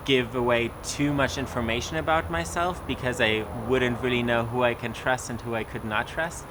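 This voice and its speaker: A man's voice: mid-range voice, background noise. A young man telling his personal testimony in a casual way, with many fillers and restarts. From Germany.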